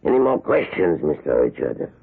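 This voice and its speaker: Gravelly, assured male scientist. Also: very deep voice, big pitch swings, moderate pace, exaggerated voice. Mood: explaining. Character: male doctor, assured, persuasive scientist selling immortality. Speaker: actor in a radio drama. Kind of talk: old-time radio drama acting. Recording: muffled narrow-band old radio recording.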